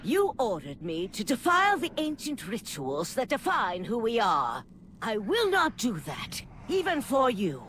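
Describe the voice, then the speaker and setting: Stern, slow vampire inquisitor. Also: female voice, on the high side, wildly swooping pitch, fairly bright tone, slight background noise, heavily acted. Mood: defiant. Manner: solemn, deliberate, resolute. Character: stern, principled female vampire inquisitor, proud and defiant. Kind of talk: dramatic video game acting.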